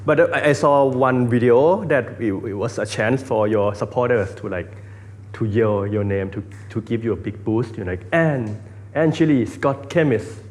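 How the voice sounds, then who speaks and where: A man's voice: mid-range voice, normal speed, darkish tone. A Thai man with a Thai accent, hosting a casual interview in English as a second language, hesitant with many fillers. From Thailand. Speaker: interview host.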